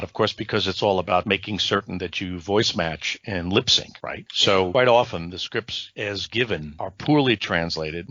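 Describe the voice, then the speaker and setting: A man's voice: low voice, pushed and bright, no room sound, lo-fi sound. A man being interviewed as a voice actor on a podcast, talking at length in an explanatory way and often checking with "right?". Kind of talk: podcast interview, recounting career.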